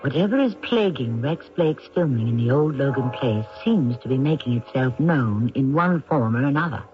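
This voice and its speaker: A man playing an ominous mystery-theater host, narrating a radio drama in a measured, suspenseful way. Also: mid-range voice, animated, lightly acted. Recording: muffled old radio recording. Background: faint music.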